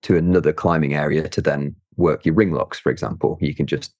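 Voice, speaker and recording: A man's voice: very deep voice, fairly even intonation, brisk, a little mellow, dry recording, clean studio sound. A man being interviewed as a guest, chatty and hesitant, with lots of fillers and easy laughs. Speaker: guest climber. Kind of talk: casual interview chat.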